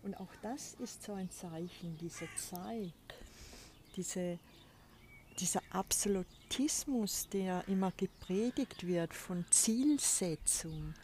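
A woman hosting a spiritual podcast, talking in a soft, breathy, reflective way from a balcony with birds behind her. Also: fairly low pitch, slow, slight background noise. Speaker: podcast host. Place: outdoors on a balcony. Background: birds and a crow.

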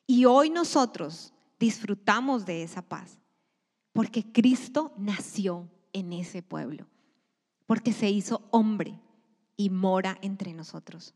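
A woman preaching a sermon to a church congregation. She speaks emphatically, swelling loud and then dropping to a near-whisper. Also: mid-range voice, expressive, slow pace. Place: church sanctuary. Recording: long hall reverb.

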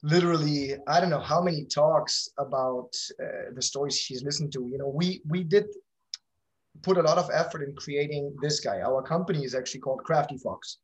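A man with a somewhat high-pitched voice talks casually as an interview guest. His phrasing is loose and self-correcting, often restarting as he explains things about his work. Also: fairly quick, rough recording. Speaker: interview guest, company founder.

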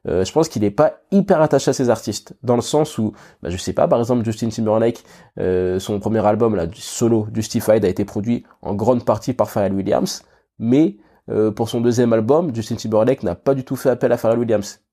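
A man's voice: on the low side, brisk, mellow. A man with a cold hosting a casual solo podcast, thinking aloud with many fillers and restarts and talking straight to the listener. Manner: addresses listener directly with "tu vois". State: has a cold, sniffling. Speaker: podcast host.

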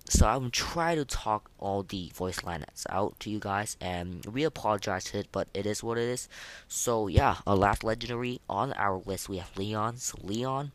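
A man's voice: fairly low pitch, normal speed. A young man hosting a casual, unscripted podcast of game ratings in a hesitant, rambling, informal way. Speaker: podcast host.

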